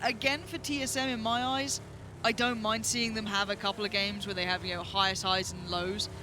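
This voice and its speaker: A man casting a live esports match on a headset, getting excited and shouting when fights break out. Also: high-pitched, brisk, music in the background. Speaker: esports caster.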